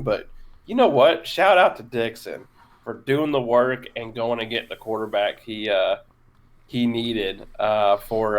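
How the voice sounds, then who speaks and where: Man hosting a podcast, halting delivery. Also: medium pitch. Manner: hesitant, stumbling with many fillers. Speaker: podcast host. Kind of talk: casual chat.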